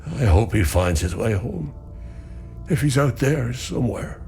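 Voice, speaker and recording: An actor playing a weary, grieving older father in a video game, speaking in a slow, sombre, measured way. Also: lowish voice, big pitch swings, gravelly, soft background music, heavily acted.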